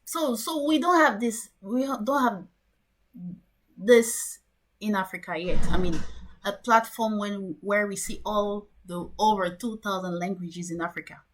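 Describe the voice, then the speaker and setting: A woman hosting an interview, curious and enthusiastic with quick reactions. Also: medium pitch, rough recording. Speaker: podcast host.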